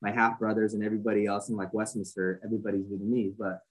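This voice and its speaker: Man in his forties, from southern california, husky voice, quick pace, rambling casual chat. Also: lowish voice, flat delivery, rough recording. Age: 40s. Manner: rambling, many fillers and restarts. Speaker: podcast guest, fashion entrepreneur.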